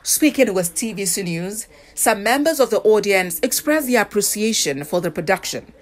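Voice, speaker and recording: A woman news reporter with a Nigerian accent narrates a scripted feature. Her intonation moves up and down in a lively way, at a medium pitch. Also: normal speed, a little hiss or hum.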